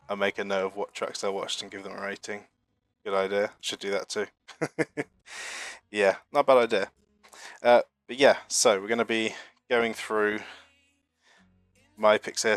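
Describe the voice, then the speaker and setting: A man's voice: on the low side, a bit bright, clear, resonant tone, no room sound. A young man from the UK hosting a live stream, talking in a chatty, rambling, self-deprecating way while getting over a flu. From United Kingdom. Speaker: streamer hosting a marble racing channel. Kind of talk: casual live-stream chat reading chat messages.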